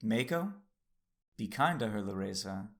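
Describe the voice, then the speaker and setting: A man playing a calm, teasing Sith husband in an audio drama, speaking in an unhurried, wry way. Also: on the low side, exaggerated voice.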